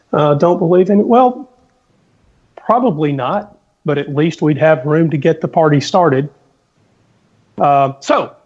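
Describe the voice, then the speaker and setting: A man's voice: on the high side, a little mellow, dull audio. A man on a podcast panel who speaks dryly and wryly, challenging his listeners. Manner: dry, challenging, wry. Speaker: skeptic panelist. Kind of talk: casual panel chat.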